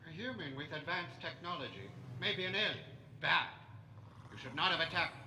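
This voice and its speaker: A man's voice: a little high, lively intonation, moderate pace, pushed and bright, heavily acted. Calculating creature boss male actor, clipped and cold, roomy noisy sound, science-fiction radio drama. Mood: cold, scolding. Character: cold, scheming non-human mastermind studying humans. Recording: echoey, noisy stage recording.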